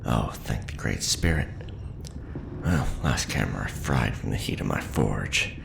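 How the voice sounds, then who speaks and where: Man playing a very deep, gravelly master craftsman. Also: expressive, a little slow, roomy sound, noisy background, exaggerated voice. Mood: wry, welcoming. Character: seasoned male sci-fi fantasy mask-forging craftsman teacher, patient, wry. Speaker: ASMR roleplayer playing an instructor. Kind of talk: ASMR roleplay, instructional monologue.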